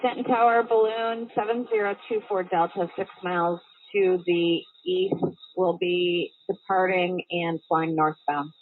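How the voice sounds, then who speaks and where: A woman's voice: lowish voice, fairly even intonation, a little slow, hardly any pauses. A woman speaking as a balloon pilot, making clipped, procedural aviation calls over the radio. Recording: tinny, phone-like band-limited radio audio.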